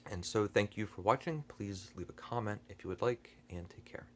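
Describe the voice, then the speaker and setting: A man hosting a book channel, talking through a review unscripted, hesitant and earnest, with many fillers and restarts and frequent throat clearing. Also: lowish voice, moderate pace, slight background noise. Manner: thoughtful and earnest.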